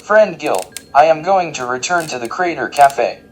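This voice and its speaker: An actor playing a polite, literal-minded robot diner worker in audio fiction, speaking formally and precisely with deadpan earnestness. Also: medium pitch, normal speed, crowd noise, natural voice. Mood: earnest, deadpan.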